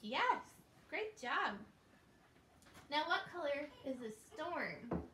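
Woman as children's puppet show host, high voice, encouraging and simple, recorded in an echoey room from a distance. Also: sing-song, slow. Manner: simple, encouraging talk addressed to small children. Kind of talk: scripted children's storytelling. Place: living room, indoors. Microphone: distant camera. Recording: echoey, noisy room, distant camera microphone.

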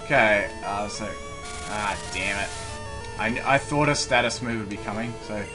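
A man's voice: fairly low pitch, normal speed. A young man giving casual, chatty gameplay commentary, easily amused. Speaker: host of a game walkthrough. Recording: game music behind the voice. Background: video game music.

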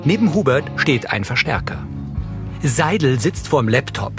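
A man reading neutral, measured audio description for a TV drama. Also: mid-range voice, big pitch swings. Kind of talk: scripted narration of a TV drama for blind listeners. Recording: voice over film soundtrack music.

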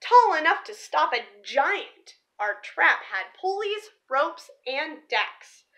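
A young woman speaks to children in a high, animated voice. Her tone is bright and forward, with expressive emphasis on key words, in the manner of a teacher reading a picture book aloud. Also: slow pace. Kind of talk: storybook read-aloud and presentation for children. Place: small living room or room at home, indoors.

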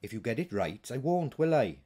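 A man's voice: medium pitch, sing-song, brisk, warm tone, breathy edge. A man voicing a practical, teasing witch woman in a storybook, with a blunt, wry, no-nonsense manner. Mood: coaxing. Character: brisk, practical, teasing witch woman, sharp-tongued friend.

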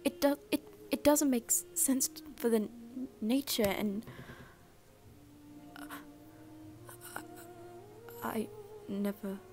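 A woman voicing a wary, easily flustered teenage boy in an anime ASMR roleplay, with halting, stammering speech. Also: on the high side, warm tone, natural voice. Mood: flustered, puzzled. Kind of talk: ASMR roleplay acted to the listener. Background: background music.

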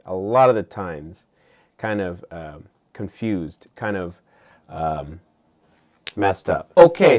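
A young man giving an informal hands-on tutorial, explaining step by step and often checking in with the listener. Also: fairly low pitch. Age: young adult. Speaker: tutorial host and musician. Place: small home studio, indoors.

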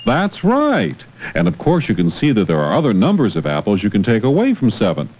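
A man's voice: lowish voice, huge pitch swings, fairly quick, highs cut off. Male educational-film instructor, questioning and encouraging, hugely varied intonation, noisy worn 16mm sound. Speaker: teacher, narrator. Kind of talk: educational film dialogue with a child. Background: clicks and heavy film noise.

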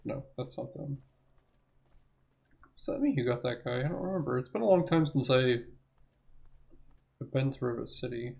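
A young man giving rambling, self-correcting let's play commentary, his voice hoarse as if he is losing it. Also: mid-range voice, expressive, moderate pace, long pauses, darkish tone. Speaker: gaming channel host.

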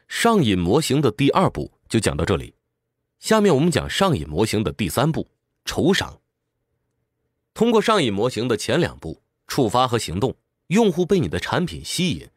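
A man's voice: mid-range voice, no echo, clean studio sound. A man reading a scripted book digest as narrator, explaining in a structured, instructive way. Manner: clear, structured explaining with rhetorical questions. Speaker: audiobook summary narrator.

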